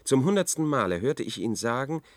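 An actor in a German radio drama playing an anxious, brooding man, measured and reflective in his telling. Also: medium pitch, big pitch swings, dry recording, lightly acted. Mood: urgent.

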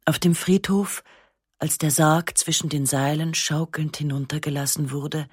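A woman narrating a literary text in the first person, with a measured, restrained and sober delivery on a close studio microphone. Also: sits low, fairly even intonation, breathy edge, no echo. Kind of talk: literary reading of a memoir-like novel.

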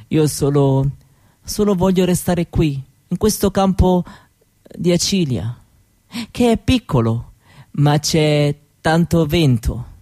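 Woman acting a slow-spoken old Roma grandmother. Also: sits low, animated, mellow, dry recording. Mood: resigned, wistful. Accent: Romani-accented Italian. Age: older. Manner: halting broken grammar, short phrases, pauses and little chuckles. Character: old Roma grandmother, wistful, gentle, reminiscing storyteller. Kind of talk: theatrical monologue for radio.

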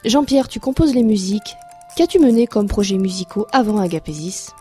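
A woman's voice: mid-range voice, animated, a little fast, warm tone, husky, faint music behind. A woman interviewer asking formal, prepared questions.

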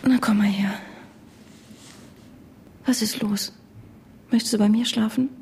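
A woman's voice: mid-range voice, fairly even intonation, a little slow, a little mellow, a little hiss or hum, lightly acted. An actress playing a troubled, mistrustful wife in a naturalistic radio drama, restrained and intimate in her delivery. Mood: tender, hushed.

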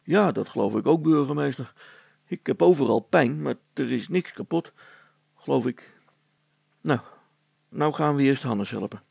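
Man acting Brilstra, an urgent, husky take-charge father. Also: mid-range voice, lively intonation, unhurried, pauses often, darkish tone, no echo, voice pushed up, exaggerated voice. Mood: relieved, practical. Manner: plain-spoken, urgent, directing others.

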